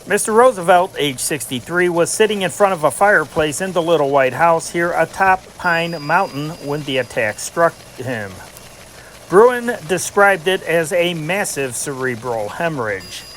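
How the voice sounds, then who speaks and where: A man's voice: a little high, animated, a little slow, a bit bright, a little hiss or hum, poor audio. A man reading scripted news dispatches in a formal, declarative newscast manner, punching the datelines. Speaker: narrator reading old newspaper dispatches.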